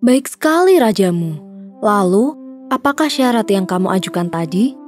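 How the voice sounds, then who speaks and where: A woman's voice: mid-range voice, big pitch swings, moderate pace, darkish tone, soft background music, lightly acted. A woman playing a gracious island princess in a children's story, speaking in a polite, regal way. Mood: intrigued. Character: gracious, formal young princess ruling an island.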